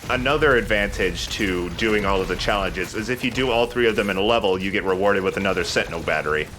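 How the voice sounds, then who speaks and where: A man's voice: low voice, fast, a bit bright, slight background noise. A man hosting a let's play with casual, joking, irreverent game commentary over game sound. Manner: irreverent, profane.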